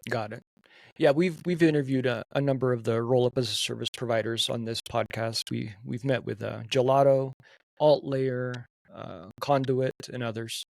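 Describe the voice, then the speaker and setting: A man's voice: medium pitch, steady tone, moderate pace, creaky, darkish tone, no echo. A man hosting a podcast, asking questions and often restarting his phrases. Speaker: podcast host. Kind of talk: casual podcast interview.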